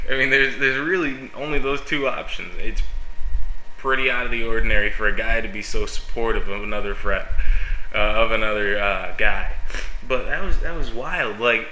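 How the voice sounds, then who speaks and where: A man's voice: medium pitch, bright, forceful tone, slight background noise. A young man, a youth pastor, rambling casually in a vlog while stuffy and sniffling from a cold, with lots of fillers and self-mocking laughs. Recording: echoey room.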